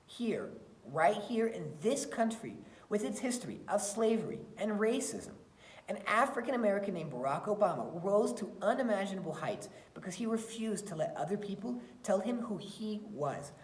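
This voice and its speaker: Expressive woman teacher in an echoey room. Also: medium pitch, moderate pace. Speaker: teacher reading aloud to students. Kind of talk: expressive read-aloud and lesson talk for children. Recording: roomy, echoey sound.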